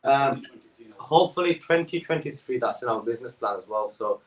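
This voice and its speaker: A man's voice: medium pitch, animated, brisk. A man who runs a perfume business, answering questions hesitantly with many fillers, heard over a remote call. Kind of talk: guest answering interview questions. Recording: echoey and distant.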